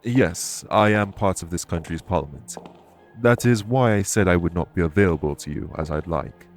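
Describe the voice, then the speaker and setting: A man playing a courteous former king turned fae official in an audio roleplay, speaking formally and with measured reassurance. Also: low voice, faint music behind, close to an everyday voice. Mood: reserved, matter-of-fact. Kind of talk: audio roleplay spoken to the listener.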